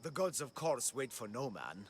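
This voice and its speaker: An actor playing a grandiose, deluded self-proclaimed god in a video game, with a pompous, theatrical, declamatory delivery. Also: male voice, medium pitch, very animated, normal speed, a little hiss or hum, natural voice. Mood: exultant. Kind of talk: video game cutscene acting. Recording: music playing behind the voice.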